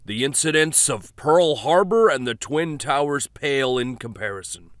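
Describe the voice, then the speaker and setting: A man's voice: medium pitch, expressive, normal speed, no room sound, clean studio sound, pitched above the performer's own voice, lightly acted. Man putting on a grave, emphatic radio announcer. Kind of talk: news broadcast.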